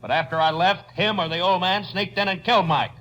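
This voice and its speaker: Casting: evasive, combative rural American mob leader, radio play, high-pitched with a hard edge. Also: male voice, fairly even intonation, brisk, sharp tone, a little hiss or hum. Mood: defiant. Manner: argumentative, evasive. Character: defiant, shifty rural man leading a lynch mob. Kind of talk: 1950s radio drama acting.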